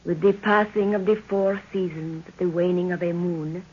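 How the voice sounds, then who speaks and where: Husky, solemn jungle witch. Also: fairly low pitch, fairly even intonation, normal speed. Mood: solemn, mysterious. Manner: solemn, formal, speaks of herself in third person. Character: commanding mystical jungle snake woman, moon witch. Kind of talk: 1930s radio drama acting. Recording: muffled old 1930s radio recording.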